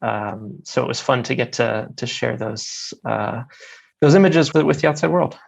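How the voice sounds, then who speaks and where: A man's voice: mid-range voice, moderate pace, vocal fry. A man in his thirties from Maryland, a guest author talking thoughtfully in a conversation, with frequent fillers and repeated words. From United States (Maryland). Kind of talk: interview conversation.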